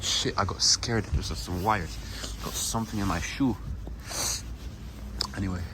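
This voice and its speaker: A man's voice: deep voice, measured pace. A young man from Poland with a Polish accent, speaking English as a second language, rambling through a casual walking-tour vlog on a phone outdoors. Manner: rambling, self-correcting. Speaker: travel vlogger. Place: outdoor town square. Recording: outdoor street noise.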